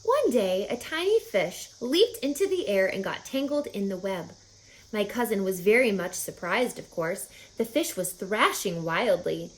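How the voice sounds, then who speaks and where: A woman voicing a kindly, bossy, quick-witted female spider in a children's storybook, articulate and telling tales with relish. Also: medium pitch, big pitch swings, echoey room, low-quality recording. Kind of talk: children's storybook read-aloud.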